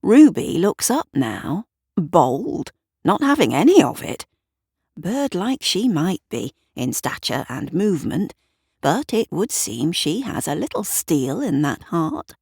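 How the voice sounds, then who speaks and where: A woman narrates scripted fiction in a low voice, taking her time over each phrase. Her delivery is measured and literary, and the sound is dry with no room to it. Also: very animated, creaky, a little mellow, slightly rough, no echo. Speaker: author and narrator of an audio drama.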